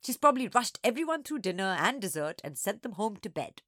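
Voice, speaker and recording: Woman doing a mischievous, lively old lady. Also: medium pitch, brisk, no echo, studio quality. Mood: wry. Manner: gasping, chuckly, conspiratorial. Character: mischievous, sputtering old woman, gleeful former schoolgirl prankster.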